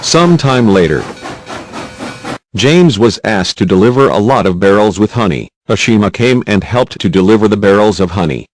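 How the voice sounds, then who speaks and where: Deep-voiced, lively male narrator. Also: normal speed. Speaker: narrator of a children's quiz video. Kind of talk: scripted narration.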